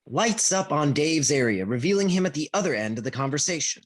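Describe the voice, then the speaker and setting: A man's voice: on the high side, very animated, fairly quick. A man reading stage directions as scripted narration for a comedy play reading. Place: remote reading over a video call.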